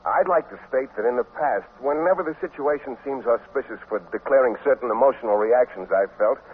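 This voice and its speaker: Actor playing a bashful, halting teacher, tinny radio. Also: male voice, medium pitch, lightly acted. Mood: flustered, earnest. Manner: hesitant, stammering, wordy. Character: bashful, earnest male biology teacher and coach. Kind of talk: 1950s radio comedy acting. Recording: tinny old radio broadcast, faint noise.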